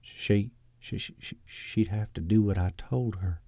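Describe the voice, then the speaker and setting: A man voicing a timid, needy grown son in a comic story, speaking softly and hesitantly. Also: fairly low pitch, unhurried, no echo. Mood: nervous. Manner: soft, hesitant, stammering.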